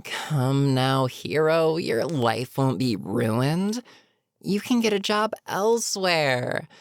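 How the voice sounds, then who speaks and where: Woman voicing a jaded older villain for audio roleplay, really deep for a woman, slow n sardonic, sighs a lot, menacing but protective. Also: expressive, no room sound, studio quality, full character voice. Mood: smug, menacing. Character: older, weary supervillain, menacing yet protectively concerned. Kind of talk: dramatic audio roleplay monologue.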